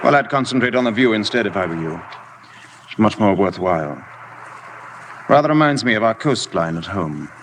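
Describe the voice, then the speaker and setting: An actor playing an aloof, dryly ironic wealthy gentleman in golden age radio drama style, curt and commanding under formal politeness. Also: lowish voice, expressive, normal speed, long pauses, a little hiss or hum, close to an everyday voice. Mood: politely curious. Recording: vintage radio broadcast with faint music.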